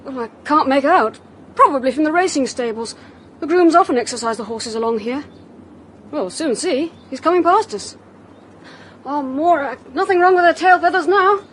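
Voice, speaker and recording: A woman's voice: sits high, lively intonation, normal speed, slight background noise. A woman voicing an eager young schoolboy who keeps hawks, talking earnestly in a radio play. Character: eager young schoolboy son, keen falconer. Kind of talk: radio drama acting.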